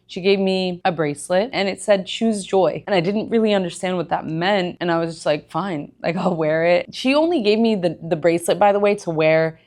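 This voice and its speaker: A woman's voice: fairly low pitch, fairly quick, hardly any pauses, a little mellow. A young woman from Egypt, a gym owner and personal trainer, candidly telling her life story in a conversational vlog with emphatic asides. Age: young adult.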